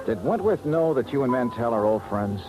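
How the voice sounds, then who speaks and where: A man acting a tough American private investigator in vintage radio drama. His delivery swings widely in pitch, sardonic and guarded. An old broadcast recording with music behind him. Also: lively intonation, a little fast, lightly acted, poor audio. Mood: guarded, wry.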